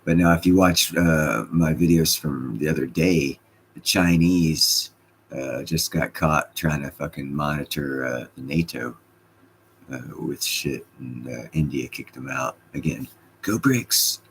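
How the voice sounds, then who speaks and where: A man's voice: rumbling low, unhurried, slight background noise. A man with a slight Scottish accent hosting a casual livestream, reading chat comments and joking with loose, profane banter.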